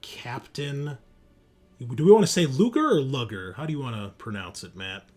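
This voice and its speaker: A man narrating a tabletop roleplaying game as game master, explaining things conversationally with pauses mid-sentence. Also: mid-range voice, expressive, darkish tone. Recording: music behind the voice. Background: background music.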